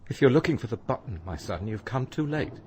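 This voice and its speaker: A man playing a gentle, anxious priest who pleads, in a radio drama. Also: medium pitch, very animated, a little fast, close to an everyday voice. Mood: anxious, pleading. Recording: thunderstorm noise behind the voice.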